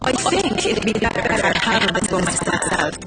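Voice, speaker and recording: A woman's voice: mid-range voice, sing-song, moderate pace, very noisy, close to an everyday voice. A woman playing a confident, worldly female designer, breezy and professional, in visual novel dialogue. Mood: firm. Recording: loud music over the voice.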